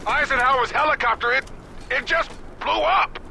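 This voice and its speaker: A man playing a conscientious, steady private military soldier in a video game cutscene, talking in a casual, blunt military way. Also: very high-pitched, normal speed, creaky, slight background noise, exaggerated voice. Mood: shocked. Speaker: soldier on a mission.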